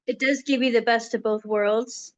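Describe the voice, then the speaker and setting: A woman's voice: fairly high pitch, fairly even intonation, moderate pace, no room sound. A woman hosting an interview show, asking her guest questions in a friendly, upbeat way. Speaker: show host.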